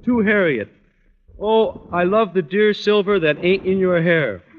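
An actor playing a naive, slangy American high-school athlete, earnest and lovesick, in an old-time radio comedy. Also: male voice, measured pace. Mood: sheepish. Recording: dull old radio recording, hall reverb, hiss.

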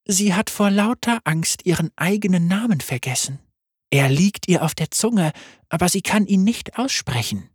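A serene, otherworldly female spirit speaking in a soft, lilting voice raised above the narrator's own, consoling and calm. Her breathy tone floats with a lively, sing-song lift, as a male reader puts her on. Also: sits high, moderate pace, no echo, clean studio sound, pitched above the performer's own voice. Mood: soothing eerie. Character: gentle, eerie female death spirit, calm.

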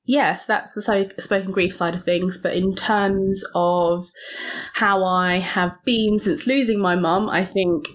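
A woman, a podcast guest, speaks reflectively and hesitantly, with many pauses and fillers. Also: medium pitch, steady tone. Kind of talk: reflective personal interview.

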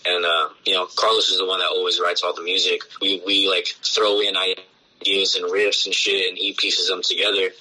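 Forceful low-voiced man, rambling casually. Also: level tone, moderate pace, slightly rough, low-quality recording. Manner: loose and rambling, heavy swearing and filler words. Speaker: punk band bassist, interview guest. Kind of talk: casual podcast conversation.

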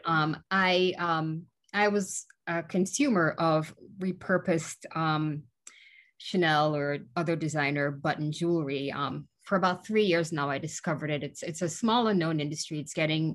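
Low-pitched chatty woman guest in her forties, from Guyana, talks freely with fillers. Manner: chatty, many "um" fillers, laughs easily. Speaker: guest, small business owner. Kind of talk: casual interview.

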